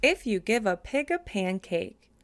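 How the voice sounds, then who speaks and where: A woman reading a children's picture book aloud in an expressive way, slowing down for the closing lines. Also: medium pitch, no echo, clean studio sound. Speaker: storybook reader. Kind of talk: children's read-aloud narration.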